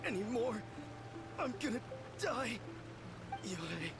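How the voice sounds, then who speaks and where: A man voicing a possessed man in an anime game, theatrical and halting, with a creaky voice that swings between manly bravado and pitiful pleading. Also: very high-pitched, big pitch swings, full character voice. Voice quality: creaky, swooping voice. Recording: game audio under loud music.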